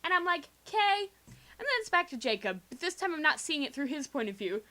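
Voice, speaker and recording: A young woman doing a theatrical, sarcastic comic dramatic reading in slangy language, recorded quietly on a homemade game microphone rig. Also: sits high, sing-song, brisk. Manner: theatrical, sarcastic, slangy, acts out quoted dialogue. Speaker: storyteller. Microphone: video game microphone with improvised pop filter.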